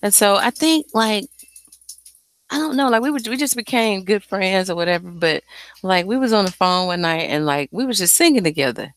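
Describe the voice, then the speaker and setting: A woman's voice: medium pitch, animated, normal speed. A woman with a light, airy voice chatting casually and candidly about her music, informal and slangy, swearing freely. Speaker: singer and songwriter. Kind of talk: casual, unscripted chat about making music.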